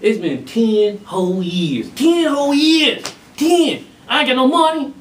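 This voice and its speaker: A man plays an underpaid backup singer who whines about his wages. His voice is very high for a man and swings widely. It comes from across a roomy, echoing space. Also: expressive, unhurried, slight background noise. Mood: aggrieved. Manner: whiny, aggrieved. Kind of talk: comedy sketch acting. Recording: echoey room from a distance.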